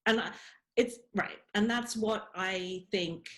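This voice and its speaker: A woman's voice: medium pitch, takes it slowly, fairly bright tone. A woman in her forties with a British accent, a professor answering questions on a radio show and speaking earnestly, with frequent fillers and self-corrections. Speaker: professor, interview guest. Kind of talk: radio interview.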